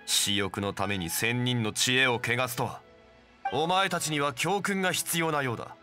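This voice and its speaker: An actor playing a calm, erudite gentleman in a video game, speaking formally and with measured composure. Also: mid-range voice, over music, close to an everyday voice. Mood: stern. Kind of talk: Japanese game voice acting.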